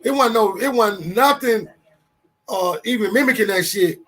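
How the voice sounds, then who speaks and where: Fired-up high-voiced man in his fifties. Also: normal speed. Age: 50s. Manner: animated, swearing, storytelling with restarts. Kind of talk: casual podcast talk.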